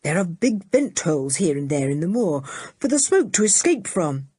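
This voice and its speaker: A woman voicing a calm, kindly grown man who explains things gently. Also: fairly low pitch, breathy edge, no room sound, studio quality. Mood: reassuring. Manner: soothing and unhurried.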